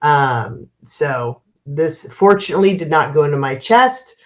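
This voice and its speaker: Slow, low-voiced stuffy woman in her fifties. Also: expressive. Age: 50s. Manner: chatty, greets viewers by name, frequent ums and laughs. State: stuffy nose, congested. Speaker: livestream host. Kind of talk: casual livestream chat.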